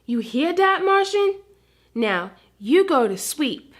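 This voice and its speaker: A woman's voice: high-pitched, big pitch swings, slow, voice pushed up, full character voice. A woman voicing a mischievous, earnest little boy puppy with babyish grammar in a children's storybook. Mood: scolding. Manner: babyish grammar, says dat for that. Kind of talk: read-aloud children's storybook character.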